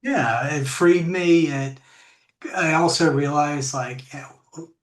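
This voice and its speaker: Deep-voiced woman, slow and reflective. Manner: reflective, unhurried, many fillers and restarts. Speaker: podcast guest. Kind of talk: casual interview talk. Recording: echoey room.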